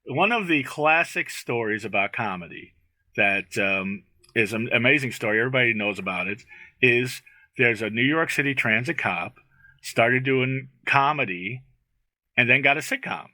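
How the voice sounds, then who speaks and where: A man's voice: medium pitch, lively intonation, normal speed, bright, forceful tone, no echo. A man hosting a comedy show, chatting in a casual, joking, talkative way. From born in Brooklyn, moved at three. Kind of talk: casual bantering interview.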